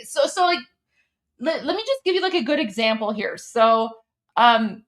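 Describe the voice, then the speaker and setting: A woman's voice: a little high, animated, normal speed, fairly bright tone, faint music behind. A young woman co-hosting a livestream, chatty, explaining and translating a song with many restarts and fillers. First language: Persian heritage, English first language. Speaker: livestream co-host.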